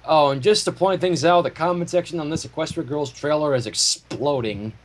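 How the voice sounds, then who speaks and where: A man's voice: on the high side, fairly quick. A man reading fan fiction as a narrator with casual asides, on a slightly noisy home recording.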